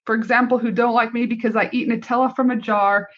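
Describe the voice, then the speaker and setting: High-voiced Canadian woman, emphatic and blunt. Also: steady tone, fast, lo-fi sound. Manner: emphatic, blunt, casual swearing. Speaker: podcast host. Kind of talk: solo motivational business podcast talk.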